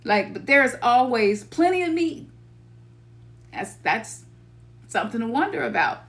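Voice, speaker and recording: A middle-aged woman with a Memphis Southern accent gives a loose, slangy spiritual talk to her listeners. Her voice sits a touch high for a woman. She keeps it conversational and now and then acts out her own inner voice. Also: big pitch swings, measured pace, fairly bright tone. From Memphis, Tennessee. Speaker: spiritual teacher.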